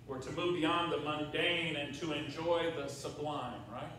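A man's voice: a little high, fairly even intonation, measured pace, bright, forceful tone. Older male American pastor, conversational and emphatic, recorded from afar in a sanctuary with faint noise. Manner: conversational, rhetorical questions with check-ins to congregation. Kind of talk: sermon. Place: large church sanctuary, at pulpit. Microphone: far camera in the room. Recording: very echoey church, distant mic, faint noise.

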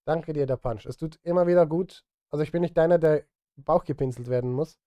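A man's voice: on the high side, brisk, dark tone, no room sound, muffled recording. A man in his thirties chatting casually to livestream viewers while he works, self-deprecating and direct. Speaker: music streamer and composer. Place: indoor home studio.

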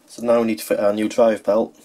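Low-voiced man giving step-by-step instructions. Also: flat delivery, brisk, warm tone. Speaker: repair tutorial host. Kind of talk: hands-on how-to narration.

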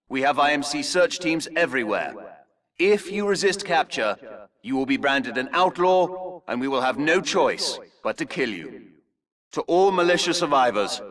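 A man's voice: mid-range voice, fairly bright tone, recorded from a distance, close to an everyday voice. Cold, formal military broadcaster, played by a man. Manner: measured, formal, authoritative. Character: cold, polite, menacing occupying-army broadcaster demanding surrender. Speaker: video game voice actor. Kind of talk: formal propaganda broadcast announcement.

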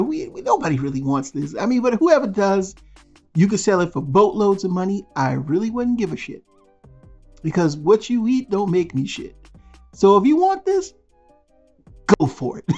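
A man's voice: high voice, darkish tone, highs cut off. A man hosting a toy news channel, speaking as a blunt, slangy, rambling voice-over over still images. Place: voice-over, not in pictured place.

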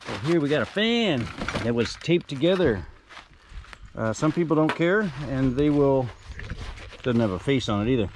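A man's voice: medium pitch, big pitch swings, normal speed, noisy background. A man, chatting casually and rambling with jokey asides as he sorts through storage unit finds outdoors on a rural lawn, filmed on a body-worn camera. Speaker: storage unit reseller vlogger. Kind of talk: casual walkthrough commentary.

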